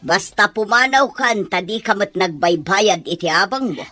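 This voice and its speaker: A woman's voice: medium pitch, normal speed, bright, forceful tone. A woman playing a blunt, fussing middle-aged village matron in an audio drama. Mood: stern.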